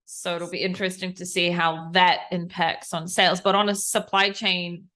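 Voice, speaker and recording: A woman's voice: fairly low pitch, flat delivery, normal speed, sharp tone. Chatty New Zealand woman host. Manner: chatty, informal, thinks aloud. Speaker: podcast host. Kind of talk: casual business chat.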